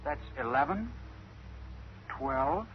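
An actor playing an excitable small-town print shop man in 1950s radio drama style. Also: fairly high pitch, slow, heavily acted. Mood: startled. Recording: muffled old radio recording, faint noise.

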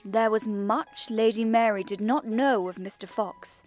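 Woman playing genteel sixteen-year-old girl, high-pitched, yearning, formal period storytelling, audio drama, light music. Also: a little slow, a little mellow, close to an everyday voice. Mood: intrigued. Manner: ornate, formal period diction.

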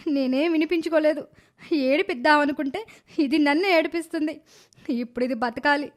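A woman voicing a sulky, cheeky, scheming little village girl in an animated moral story. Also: high voice, lightly acted. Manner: insolent, talks back to elders.

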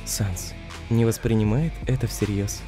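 A man's voice: on the low side, steady tone, measured pace, music in the background, close to an everyday voice. A man voicing a droll commentator in a comic dub, making dry, repetitive remarks. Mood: dry, mocking.

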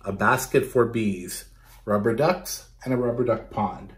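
A man in his thirties reading a picture book aloud to children in an expressive way, talking to them directly. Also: on the low side, measured pace. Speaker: storytime reader for children. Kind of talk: animated picture-book read-aloud with asides to young viewers. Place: small bedroom at home, indoors.

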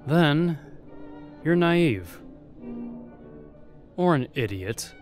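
A man's voice: fairly high pitch, very animated, soft background music, exaggerated voice. A man playing a jaded, defiant young spy at a royal court in an audio roleplay, sardonic and guarded. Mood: scornful, bitter. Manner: sardonic, bitter, guarded, with pauses.

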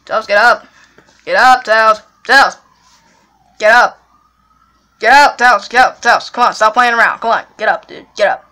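A woman playing a young, anxious cousin in an amateur skit, soothing and pleading in halting, repeated phrases. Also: a little high, bright, forceful tone. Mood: alarmed. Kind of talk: amateur improvised character skit. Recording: music behind the voice.

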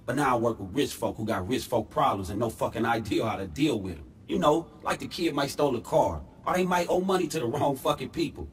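A man's voice: mid-range voice, slight background noise, natural voice. A man playing a confident, streetwise ex-hustler turned businessman in a video game, with slangy, swaggering speech. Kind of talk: video game cutscene acting.

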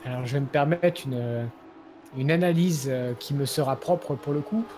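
A man's voice: medium pitch, normal speed, dark tone, a little hiss or hum. A man co-commentating a live sim race, teasing his partner and adding mock-formal jokes.

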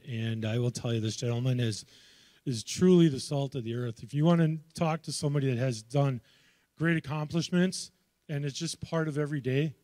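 A man's voice: mid-range voice, no room sound. A middle-aged man moderating an event, introducing the guest admiringly on a handheld mic while losing his voice. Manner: warm, admiring, a few restarts. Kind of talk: introducing a speaker. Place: panel table, conference room. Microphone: handheld microphone.

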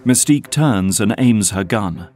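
Low-voiced man narrating an audio drama. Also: lively intonation, a little slow, a little mellow, faint music behind.

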